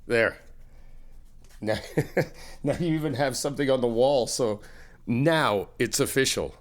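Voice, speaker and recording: A young man in an ASMR comfort roleplay, talking to the listener as a reassuring friend in a chatty way with soft laughs. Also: medium pitch, measured pace.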